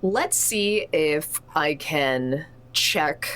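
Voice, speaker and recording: A woman with a fairly deep voice plays a tabletop roleplaying game. She improvises casually, thinking aloud as she goes. Her delivery is animated and chatty. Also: drawn-out words.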